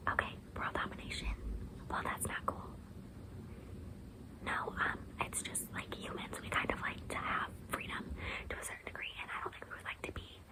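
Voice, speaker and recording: A woman doing a whispered ASMR roleplay, explaining things in an excitable, rambling way. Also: moderate pace, poor audio. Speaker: ASMR content creator.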